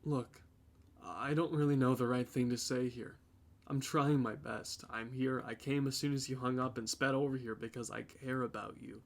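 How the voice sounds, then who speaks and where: A man acting in an audio drama as a guilty young boyfriend, halting and defensive as he pleads. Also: mid-range voice, steady tone, vocal fry, natural voice.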